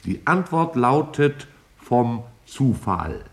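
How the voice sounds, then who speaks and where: A man playing a vast alien cloud intelligence in a 1950s German radio drama, lecturing in a measured, formal, detached way. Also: medium pitch, big pitch swings, noisy background.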